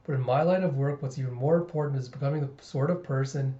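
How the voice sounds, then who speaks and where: Man as a composed, formal spy father, mellow-voiced. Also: mid-range voice, echoey room, dull audio, close to an everyday voice. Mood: calculating. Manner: measured, formal, articulate. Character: composed, serious father, secretly a calculating spy.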